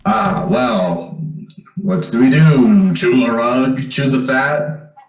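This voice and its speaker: Man playing a slow, hesitant young man, echoey. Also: medium pitch, lively intonation. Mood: uneasy. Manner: hesitant, halting. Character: uneasy young man returned home, posing as lost son. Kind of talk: old-time radio drama acting. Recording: roomy, echoing recording.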